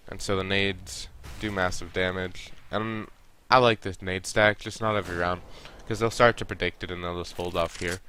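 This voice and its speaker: A man's voice: deep voice, steady tone, moderate pace, hardly any pauses, pushed and bright, slight background noise. A young man with a stuffy nose, casually reviewing a team's game and coaching the players directly. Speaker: esports coach reviewing a match.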